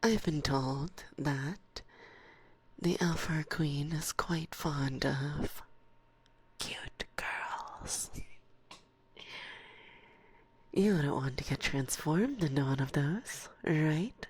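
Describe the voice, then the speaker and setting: A woman plays an assertive forest witch in a close binaural ASMR roleplay. Her voice is low and husky, often slipping into a soft whisper. She speaks slowly and deliberately, a stern, teasing mentor who is condescending yet fond. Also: exaggerated voice. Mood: sly, teasing. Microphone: close binaural microphone.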